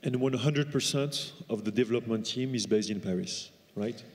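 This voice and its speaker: A man moderating a conference panel, putting brisk, direct questions to the speakers. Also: mid-range voice. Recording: long hall reverb from a conference stage.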